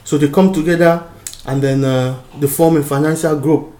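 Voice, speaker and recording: A man's voice: a little high, fairly even intonation, moderate pace, dark tone, reverberant. A man from Cameroon giving an informal lecture, explaining a diagram with many fillers and restarts.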